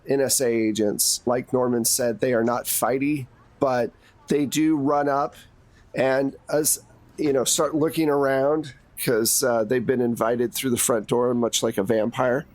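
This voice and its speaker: Male GM and host, mid pitch, dry recording, casual improvised tabletop narration. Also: a little mellow, no room sound. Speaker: game master and host.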